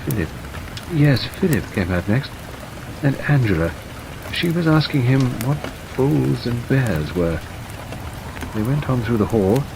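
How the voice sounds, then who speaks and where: A man voicing a fussy, gentle older English gentleman who sounds flustered and hesitant, his voice jumping up high. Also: a little slow, gravelly, long pauses. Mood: fretful. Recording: steady rain noise behind.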